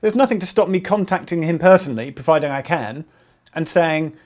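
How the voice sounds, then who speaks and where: A man in his thirties, an animated and jokey co-host in casual podcast chat. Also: a little high, brisk. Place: small home room.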